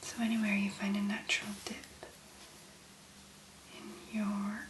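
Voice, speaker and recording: A woman speaking softly and breathily in an ASMR make-up tutorial, gently musing in a hesitant, unhurried way. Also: medium pitch, completely flat delivery, reverberant, background noise. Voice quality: soft, breathy, mostly whispered. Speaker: ASMR content creator, make-up demonstrator.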